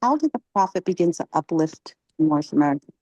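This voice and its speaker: Dry, low-voiced woman leading a class. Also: very animated, mellow, slightly rough, no echo, muffled recording. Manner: encouraging, halting with many ums. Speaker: class leader asking catechism questions. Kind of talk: religious class question-and-answer.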